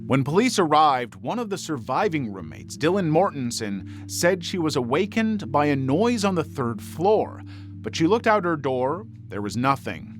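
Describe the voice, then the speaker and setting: A man's voice: a little high, sing-song, normal speed. A man reading scripted true crime documentary narration. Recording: faint music bed behind narration. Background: music.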